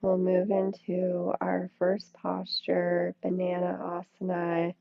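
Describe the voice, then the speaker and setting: A woman leading a yoga class with slow, soothing guided instruction, speaking in short phrases with long pauses. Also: on the low side, monotone, creaky, no echo. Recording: thin, phone-like band-limited sound, dry.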